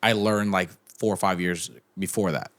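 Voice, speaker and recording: Young guy on a podcast, lowish voice, lots of um and restarts, just chatting. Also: steady tone, normal speed, a bit bright. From United States. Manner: rambling, with many restarts and fillers. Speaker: podcast guest. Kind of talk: casual chat.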